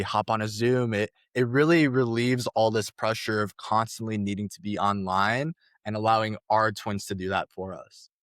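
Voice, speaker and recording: A man's voice: on the low side, a bit bright, no room sound, studio quality. A young man, a startup co-founder, explaining his product in a casual podcast interview with some fillers.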